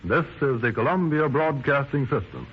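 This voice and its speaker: A man's voice: medium pitch, very animated, normal speed, background noise. A man giving formal announcements in the style of 1930s network radio. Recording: muffled 1930s radio recording.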